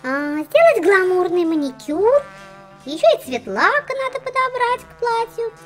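A woman's voice: very high voice, big pitch swings, measured pace, faint music behind, exaggerated voice. A woman voicing a fussy, boastful little doll girl in a children's cartoon, theatrical and condescending. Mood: dramatic fussing.

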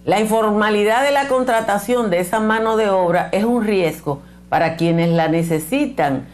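An older woman with a Dominican Spanish accent and a somewhat low voice gives opinion commentary to camera. She is blunt and emphatic, gesturing as she argues. Her voice is close and clean through a lapel mic in a small studio set. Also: a little mellow. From Dominican Republic. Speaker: political commentator.

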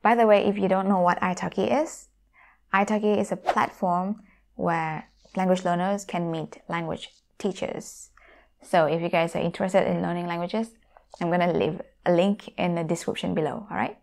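A woman's voice: lowish voice, fairly even intonation, moderate pace, creaky, a little mellow. A woman in her thirties from Thailand, speaking English with a Thai accent, talking casually and directly to viewers from a room at home on a lapel mic. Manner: friendly, direct address to viewers. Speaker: YouTuber and language teacher. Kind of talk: casual talk to camera. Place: home room with guitars on the wall.

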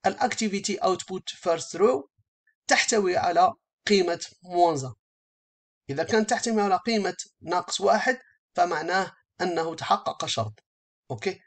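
A man giving a step-by-step software tutorial as an instructor, speaking haltingly and often rephrasing as he explains. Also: sits high.

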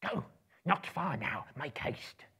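A man's voice: on the high side, expressive, slow pace, bright, forceful tone, voice pushed up, exaggerated voice. A man voicing a small, wretched, spiteful cave creature who hisses and mutters to himself in broken grammar, in a dramatic book reading. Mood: alarmed, urgent. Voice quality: raspy, hissing, creaky.

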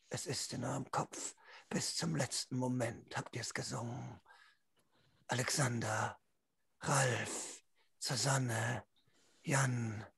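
Gravelly, slow theatrical male storyteller. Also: mid-range voice, a bit bright, no echo. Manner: theatrical, drawn-out, intense delivery. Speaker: game master, storyteller. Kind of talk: dramatic tabletop role-play narration. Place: small room at home, online video call.

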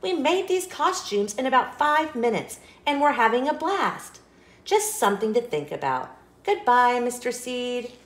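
Woman playing a polite little pumpkin seed, lively pitch. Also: unhurried, echoey room. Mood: cheerful. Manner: courteous, earnest, gently advising. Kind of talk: children's storybook read-aloud.

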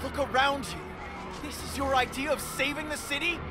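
An actor playing an earnest, wisecracking young superhero in a video game, speaking with urgency. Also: male voice, very high voice, fairly even intonation, normal speed, a loud crowd. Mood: stern, earnest. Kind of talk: video game action hero acting. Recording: loud action music behind the voice.

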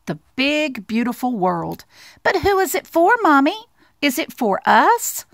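A squeaky little storybook mouse, played by a woman, very young and full of wonder. The voice sits high and swings eagerly up and down, always asking and marveling. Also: very animated, measured pace, gravelly, fairly bright tone, no echo, clean studio sound, heavily acted. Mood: curious. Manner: eager, wide-eyed questioning. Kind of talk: storybook character voice.

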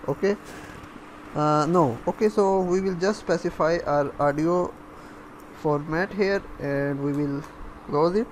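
A man giving a step-by-step coding tutorial, halting as he thinks aloud while typing. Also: on the high side. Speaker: programming tutorial instructor. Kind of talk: step-by-step coding screencast narration. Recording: faint background noise.

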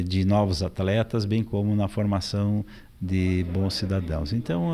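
Deep middle-aged man, university head, formal measured statement, office interview. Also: a little mellow. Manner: formal, deliberate with hesitations. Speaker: university rector. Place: indoor office.

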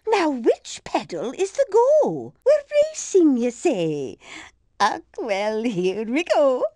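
A woman's voice: high-pitched, sing-song, slow pace, warm tone, no room sound, clean studio sound, exaggerated voice. A woman voicing a dotty, chatty grandmother driving in a race, with prim, old-fashioned exclamations. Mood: dithering. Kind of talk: video game voice lines.

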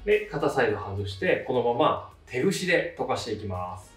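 A man in his thirties presents a practical how-to in a casual, polite explanatory way. His medium-pitched voice moves up and down in an animated fashion. It is recorded at a distance in a roomy, echoing space with faint music behind. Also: fairly quick. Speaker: hairdresser giving a tutorial, channel host. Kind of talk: casual step-by-step instructional narration. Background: faint background music.

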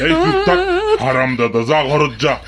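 A man voicing an indulgent, gruff old grandfather in a comic cartoon, with a northern Bangladeshi accent. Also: medium pitch, wildly swooping pitch, normal speed, a loud crowd. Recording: noisy cartoon soundtrack with clicks.

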